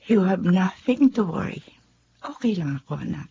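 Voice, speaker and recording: A woman's voice: lowish voice, lively intonation, moderate pace, gravelly, dark tone, a little hiss or hum. A woman playing a mother hiding a secret love affair, defensive and guarded, also narrating in first person in a slightly muffled radio drama. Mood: dismissive.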